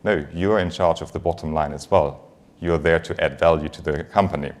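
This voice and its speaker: A man's voice: very deep voice, fairly even intonation, a little fast. A man with a German accent, a native German speaker, giving a conversational conference presentation as a finance executive, engaging the audience with light humour.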